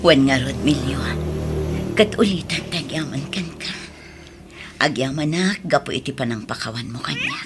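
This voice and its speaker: A woman playing an ailing, remorseful wife and mother in an Ilocano radio drama, with an Ilocano accent and a plaintive, breathy, pleading delivery. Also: very deep voice, wildly swooping pitch, normal speed, over music, full character voice. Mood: remorseful, grateful.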